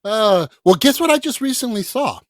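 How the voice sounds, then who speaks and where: A man hosting a casual film podcast, excitable, laughing often and swearing freely. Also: high voice, moderate pace, no echo, clean studio sound. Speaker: podcast host.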